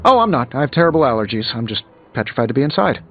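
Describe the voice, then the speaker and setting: An actor with an American accent playing a cocky, witty billionaire inventor superhero in a video game, with glib, sardonic delivery. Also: male voice, mid-range voice, very animated, very fast, narrow, tinny audio. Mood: chatty, joking. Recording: band-limited audio under game music.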